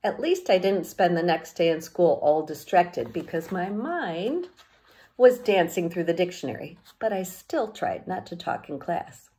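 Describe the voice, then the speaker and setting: A middle-aged woman reading a children's novel aloud as an expressive storyteller, recorded at home on a handheld-distance device. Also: fairly low pitch, a little slow. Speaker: teacher reading a book aloud. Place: small home room with bookshelves.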